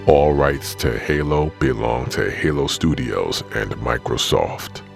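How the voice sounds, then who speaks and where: A man reading a scripted outro as an announcer, in a gravelly voice. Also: extremely low pitch. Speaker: announcer of closing credits.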